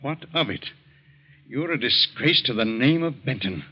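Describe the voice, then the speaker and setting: A stern, wealthy American doctor and father, indignant and domineering. He scolds in old radio drama style, with a medium-pitched voice on a muffled 1940s recording. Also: expressive, normal speed, husky. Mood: angry.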